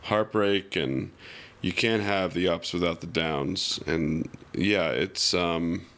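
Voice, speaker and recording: A man talking reflectively and casually as a podcast guest, in a halting, musing way with many fillers. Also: low-pitched, a bit bright.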